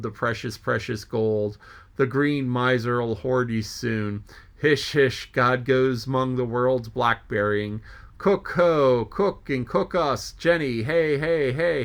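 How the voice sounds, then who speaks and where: A man's voice: medium pitch, a bit bright. A man reading aloud as a jolly, joking sea mate who rambles and exclaims to himself.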